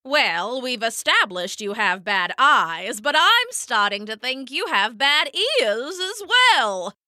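A woman's voice: on the high side, big pitch swings, takes it slowly, bright, forceful tone, dry recording, studio quality, exaggerated voice. A woman voicing a boastful male grasshopper who sings and brags in rhymes, smug and taunting.

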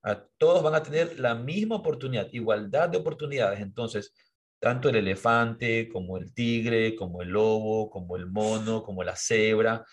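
A man's voice: lowish voice, moderate pace. A man co-hosting a podcast, talking earnestly and a bit preachy, often restarting words as he thinks. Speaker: podcast co-host. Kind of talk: reflective podcast conversation.

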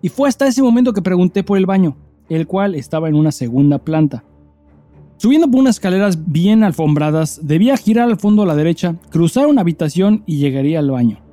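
A man's voice: high voice, lively intonation, brisk, warm tone, dry recording, soft background music. A man with a Mexican accent, a podcast co-host who speaks in a slangy, sweary style. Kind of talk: casual podcast chat; dramatized reading of a listener email over music.

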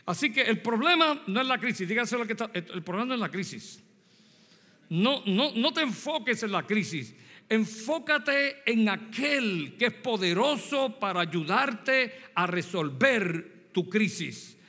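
A man's voice: high-pitched, big pitch swings, unhurried, pushed and bright. Man, Puerto Rican accent, 60s, preacher, long church reverb. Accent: Puerto Rican Spanish. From Puerto Rico. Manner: addresses the congregation directly, with rhetorical questions. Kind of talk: sermon with personal story.

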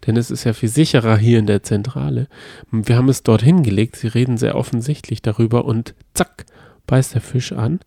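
Gravelly-voiced man retelling a story. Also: medium pitch, fairly quick, warm tone, dry recording, clean studio sound. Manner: enthusiastic retelling, often restarts sentences. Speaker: podcast co-host. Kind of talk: casual chat.

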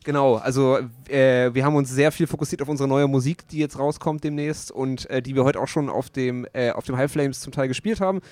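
A male band member answers in a matter-of-fact way, using a mid-pitched voice with a few fillers. The sound is dry and close, with almost no room around it. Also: steady tone, fairly quick, hardly any pauses, a little mellow, dry recording. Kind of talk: casual interview.

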